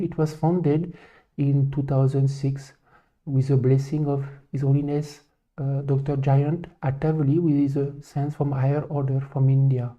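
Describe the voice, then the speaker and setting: A man from France with a French accent, speaking halting non-native English as a spiritual teacher introducing a live question session. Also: on the high side, mellow. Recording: dull, lo-fi stream audio.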